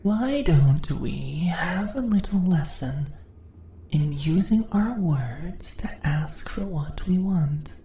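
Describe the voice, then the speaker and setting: A woman's voice: fairly low pitch, lively intonation, slow, mellow. A woman playing a seductive, dominant spider-woman monster in a fantasy ASMR roleplay, teasing her captured prey in a purring voice that often drops into whispers. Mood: taunting, seductive. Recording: roomy echo, faint background noise.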